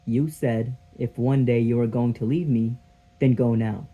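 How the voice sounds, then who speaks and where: A man's voice: mid-range voice, steady tone, a little slow, mellow, natural voice, rough recording. A man reading a stage scene as an evasive, resigned former lover, answering tersely. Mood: defensive, resigned.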